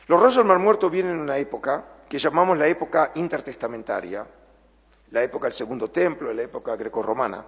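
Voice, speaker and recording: A man's voice: mid-range voice, slightly rough. Argentine man lecturing in a reverberant hall. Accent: Argentine Spanish. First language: Spanish. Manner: measured, earnest, slows down for emphasis. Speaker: lecturer, museum curator. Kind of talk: public lecture. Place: large former synagogue hall.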